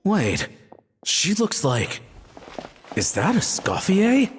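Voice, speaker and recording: Slow-spoken admiring townsman. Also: high-pitched, huge pitch swings, long reverb tail, a little hiss or hum, exaggerated voice. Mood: surprised. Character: admiring male townsman and restaurant regular. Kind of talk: video game voice acting.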